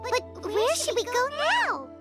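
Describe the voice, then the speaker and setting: A woman voicing a small, childlike, excitable floating fairy companion in a video game, with an exclamatory, cartoonish manner. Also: extremely high pitch, takes it slowly, full character voice. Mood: curious, chatty. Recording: game music behind the voice. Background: loud game music.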